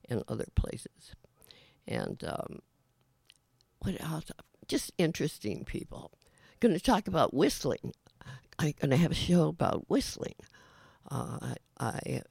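Gravelly, halting older man. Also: on the high side, a little mellow, dry recording. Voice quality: raspy. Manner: halting, many fillers and restarts. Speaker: show host. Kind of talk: casual rambling monologue.

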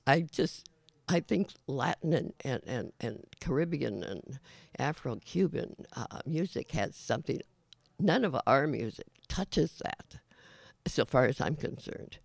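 An older man hosting his own show, talking casually in a halting way with many fillers, his voice raspy today. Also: a little high, dry recording. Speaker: show host. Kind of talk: casual rambling monologue.

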